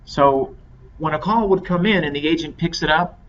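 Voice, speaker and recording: A man giving a measured, step-by-step software tutorial as an instructor. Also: a little high. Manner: measured, explanatory step-by-step delivery. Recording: dull lo-fi microphone with clear noise.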